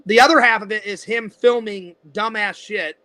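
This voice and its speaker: A man's voice: high voice, fairly even intonation, moderate pace, bright, forceful tone. A man in his forties hosting a casual interview, rambling and restarting his sentences. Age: 40s. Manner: rambling, repeats words and restarts often. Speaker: host.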